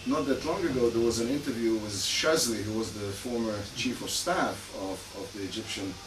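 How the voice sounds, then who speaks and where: A man's voice: fairly low pitch, moderate pace. Hesitant lecturing man with a Hungarian-Israeli accent. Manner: hesitant, many fillers, dry asides. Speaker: guest lecturer, criminologist. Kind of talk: academic lecture. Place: lecture room. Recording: echoey hall, far mic, noisy.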